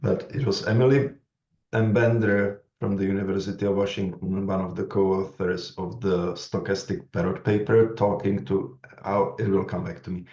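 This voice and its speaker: A man with a Czech accent, speaking as a panelist at a conference, thoughtful and halting with many restarts. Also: low-pitched, a little mellow. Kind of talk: panel discussion remarks. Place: conference room. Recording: echoey room, dull lo-fi sound.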